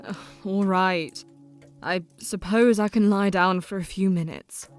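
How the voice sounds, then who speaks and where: A woman's voice: medium pitch, fairly even intonation, measured pace, soft background music, close to an everyday voice. An actress playing an exhausted, determined young woman who speaks tiredly and a bit curtly. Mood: weary. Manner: tired, clipped, slightly short-tempered.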